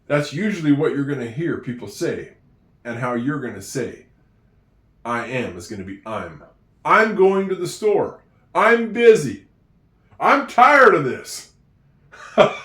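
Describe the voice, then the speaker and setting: Slow, lively-voiced man teaching handwriting. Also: on the high side, far from the mic. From Oregon, USA. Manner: chatty, encouraging, talks to the viewer. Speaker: handwriting and English tutor for a child. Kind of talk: slow, patient writing lesson. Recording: echoey room, voice away from the mic.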